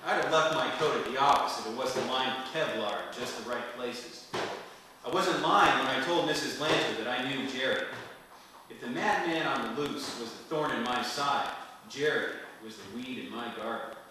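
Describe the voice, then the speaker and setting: An actor playing a wry, smooth-talking hardboiled private detective in the manner of an old radio serial. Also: male voice, medium pitch, moderate pace, sharp tone, close to an everyday voice. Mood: wry narration. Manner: wry, flirtatious, world-weary patter. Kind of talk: 1940s detective radio serial acting, noir narration. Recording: roomy distant hall recording, faint noise.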